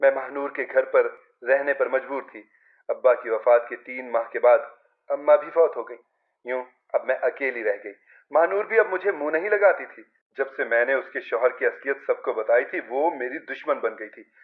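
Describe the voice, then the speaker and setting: A man's voice: mid-range voice, moderate pace. Male story narrator with narrow, thin sound. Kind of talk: scripted first-person Urdu story narration.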